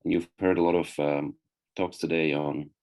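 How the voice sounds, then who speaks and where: A man from the Czech Republic speaks in a low, creaky voice with little rise and fall. He presents informally as a guest doctor at an online event. His delivery is halting, often pausing in the middle of a phrase. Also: level tone, a little mellow. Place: online video meeting.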